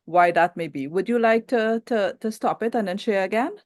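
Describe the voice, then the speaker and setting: A woman moderates an online session, breaking in politely with hesitant fillers. Her voice sits at a middling pitch, recorded close and dry over a video call. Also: normal speed, a little mellow, dry recording. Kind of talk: live-stream moderation.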